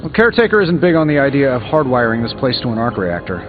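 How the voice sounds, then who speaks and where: Guy doing a cocky american superhero inventor for a video game, swoopy sarcastic delivery, music playing loud behind him. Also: mid-range voice, very animated, fast talker, phone-quality sound, natural voice. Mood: wry. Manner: glib, sarcastic quipping. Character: cocky, wisecracking male tech genius superhero inventor. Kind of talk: scripted video game voice acting.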